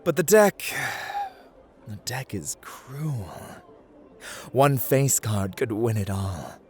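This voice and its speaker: A man's voice: mid-range voice, big pitch swings, slow pace, a little mellow. A man playing a haughty, playful god of luck in an ASMR roleplay, speaking in a theatrical, teasing, formal way that drifts into whispers. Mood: taunting, dramatic. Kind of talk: ASMR roleplay, scripted dramatic monologue.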